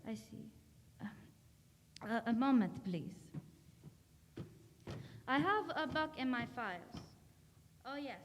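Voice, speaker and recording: A woman acts out a cryptic old lady doctor of the occult in a radio play. Her voice is fairly high, her manner grave, formal and deliberate. The recording rings like a hall and has some noise. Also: animated. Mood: mysterious, pondering. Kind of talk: theatrical radio drama acting. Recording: noisy stage recording in reverberant hall.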